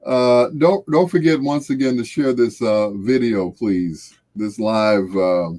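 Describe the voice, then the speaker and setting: A man's voice: medium pitch, slow pace, darkish tone. A middle-aged or older American man chatting casually and jovially with viewers on a livestream, with a folksy way of drawing out and repeating phrases. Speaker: livestream host.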